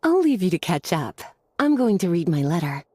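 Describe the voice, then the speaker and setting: A languid, teasing mage lady from a video game. The tone is dark and mellow with lively swoops, relaxed and knowing, with faint music behind. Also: on the low side, moderate pace. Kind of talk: video game character dialogue.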